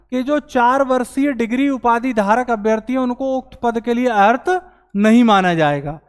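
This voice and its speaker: A man teaching as an exam coaching teacher, explaining recruitment news to students in an earnest, explanatory way and slowing down to stress his points. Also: very high-pitched, smooth, big-room sound.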